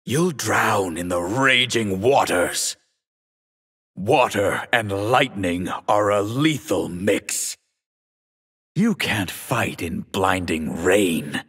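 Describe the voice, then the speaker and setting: An actor playing a proud, ambitious sorcerer warrior in a fighting video game, haughty and theatrical, with a husky voice. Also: male voice, lowish voice, fairly bright tone, no echo, clean studio sound, heavily acted. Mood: menacing, triumphant. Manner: haughty, imperious, theatrical delivery. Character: proud, ambitious male sorcerer warrior who commands water.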